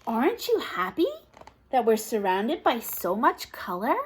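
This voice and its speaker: A woman's voice: a little high, very animated, a little slow, breathy edge. A woman teacher reading a picture book aloud to toddlers, asking encouraging questions in a sing-song, exaggerated way.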